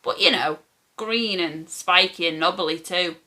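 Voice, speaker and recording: Woman voicing a blunt, kindly old grandad, bright-toned. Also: lowish voice, measured pace. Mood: musing. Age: older. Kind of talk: children's storybook character reading.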